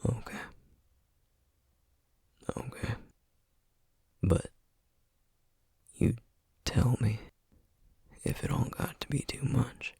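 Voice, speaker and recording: Man acting a breathy, slow-talking tired Southern boyfriend. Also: mid-range voice, steady tone, no room sound, in a whisper, heavily acted. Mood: tender, reassuring. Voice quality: breathy, hushed, husky. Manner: gentle, slow, halting. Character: tired, tender Southern sorcerer boyfriend and single dad. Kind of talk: intimate ASMR roleplay.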